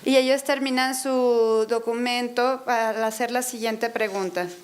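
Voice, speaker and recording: A woman interpreting a lecture consecutively in a university auditorium, hesitating often, filling pauses and correcting herself as she goes. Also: on the high side, fairly even intonation. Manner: hesitant, many fillers and self-corrections.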